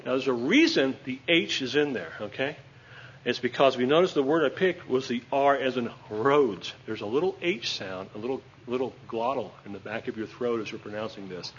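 A man's voice: medium pitch, moderate pace, dull audio, slight background noise. A man teaching a class at a whiteboard, chatty and joking, checking in with his students with a frequent "okay?".

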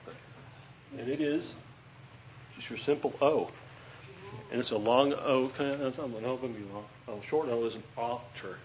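A man teaches a class in a chatty, joking manner, checking in with his students often. The recording is slightly dull and lo-fi, with faint hiss under the voice. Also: medium pitch. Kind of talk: classroom lesson at a whiteboard.

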